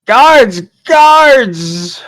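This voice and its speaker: A man's voice: very high voice, big pitch swings, drawn-out words, fairly bright tone, pitched above the performer's own voice, full character voice. A man voicing a betrayed, pleading king in a fantasy party game. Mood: alarmed.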